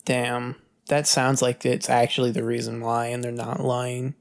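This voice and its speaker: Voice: mid-range voice, level tone, measured pace, creaky. Casual, sweary podcast co-host. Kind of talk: casual movie news chat.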